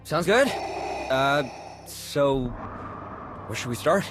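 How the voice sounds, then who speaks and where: A man's voice: fairly high pitch, lively intonation, slow, over music, poor audio. A man voicing an earnest, nervous young Jedi apprentice in a video game, with a hesitant, stammering delivery. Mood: nervous, awkward. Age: young adult.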